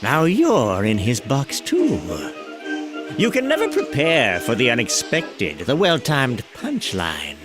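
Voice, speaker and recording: A man playing a deranged, gleeful clown villain in a motion comic, theatrical and menacing, halting and taunting over music. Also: medium pitch, wildly swooping pitch. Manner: theatrical, halting, swinging from hushed to shrieking. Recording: dramatic music under the voice.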